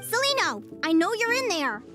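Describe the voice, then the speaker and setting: A woman voicing an earnest, excitable and determined young fae girl in a video game. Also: very high-pitched, moderate pace, music in the background. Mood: pleading. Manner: earnest, expressive.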